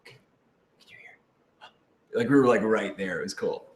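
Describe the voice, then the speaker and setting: A man's voice: on the low side, normal speed. A man chatting casually and playfully as a cast member and writer on a panel. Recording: echoey room with background noise.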